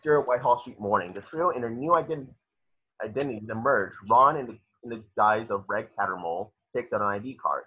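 A man's voice: mid-range voice, fairly quick, pushed and bright, slightly rough. A man reading scripted stage directions as narrator of an amateur table read. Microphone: video call. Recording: muffled video-call audio.

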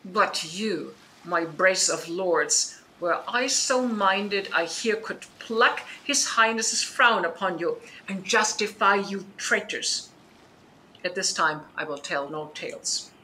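A woman playing a dignified exiled duchess in a Shakespearean radio play, stern yet forgiving, with deliberate, commanding formality. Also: medium pitch, bright, forceful tone, slight background noise, rough recording. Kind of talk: Shakespearean audio drama acting.

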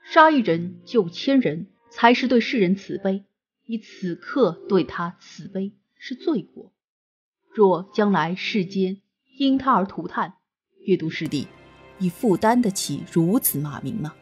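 A woman playing a severe, cold-minded elder male immortal who speaks in a measured, accusing way, in an audio drama. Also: medium pitch, animated, soft background music, natural voice. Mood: stern. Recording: dull lo-fi recording with faint noise.

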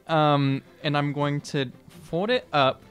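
A young man chatting casually as a tabletop game player, halting, joking and blunt. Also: on the high side, a bit bright, clear, resonant tone. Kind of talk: casual role-play chat. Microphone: tabletop microphone, close.

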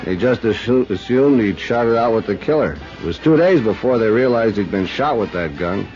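An actor playing a world-weary, bitter man who speaks slowly in a 1950s radio drama, on an old recording. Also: fairly low pitch, expressive, a little mellow, close to an everyday voice. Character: bitter lovesick man, cynical and brooding. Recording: lo-fi vintage radio with music.

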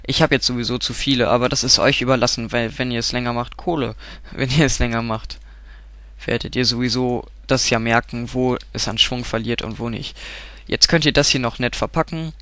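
A man with a stuffy, cold-clogged voice talks through a game tutorial at a desk mic. His tone is bright and forward, the sound dry and close, with keyboard clicks behind him. He rambles, fills pauses and keeps correcting himself. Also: medium pitch, fairly even intonation, no echo. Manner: rambling, self-correcting, frequent filler sounds. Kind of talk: casual gameplay tutorial.

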